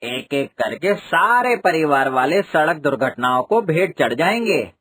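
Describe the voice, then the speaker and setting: A superstitious village temple priest from a cartoon fable, voiced by a man, pitched on the high side. He is excitable and blustering, quick to scold. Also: husky, heavily acted. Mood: solemn warning. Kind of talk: Hindi cartoon moral story dub.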